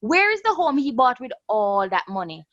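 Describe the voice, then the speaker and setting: A woman's voice: fairly high pitch, very animated, normal speed, a bit bright, no echo, highs cut off. A woman playing a furious sarcastic daughter. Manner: confrontational, mocking and sarcastic. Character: angry grown daughter, sharp-tongued and bitter. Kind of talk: radio drama acting.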